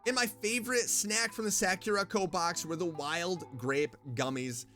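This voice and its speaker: An upbeat male streamer reviewing sponsored snacks in a chatty, casual way. His voice is bright and forward and sits a little high for a man. Faint music plays underneath. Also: moderate pace. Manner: upbeat and chatty, reading ad copy in between.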